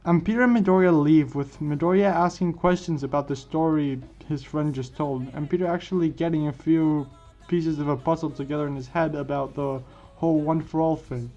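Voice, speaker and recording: A man with a slightly high voice narrates a what-if fan story in a halting, informal way. He doubles back on phrases as he goes. The recording is a bit dull and lo-fi, with music underneath. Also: dark tone. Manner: halting, repeats and restarts phrases. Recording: dull lo-fi mic, music behind. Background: background music.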